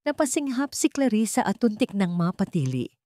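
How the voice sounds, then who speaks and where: A woman's voice: mid-range voice, very animated, moderate pace, mellow, no echo, studio quality. A woman with a Filipino accent reading a scripted dramatic story as its narrator.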